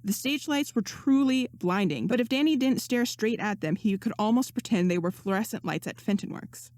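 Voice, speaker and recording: A woman reading fan fiction aloud as narrator, in an expressive audiobook style. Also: mid-range voice, moderate pace, no room sound, clean studio sound. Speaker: podfic narrator.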